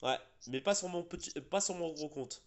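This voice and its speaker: A man's voice: fairly high pitch, brisk. A man hosting casual gaming chat, a bit congested from being sick, rambling with fillers and restarts. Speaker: gaming channel host.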